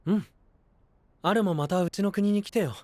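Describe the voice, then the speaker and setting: An actor playing a laid-back, friendly young knight in an anime voice drama, with a soft, casual manner. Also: male voice, high-pitched, normal speed, no room sound, natural voice. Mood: gentle teasing.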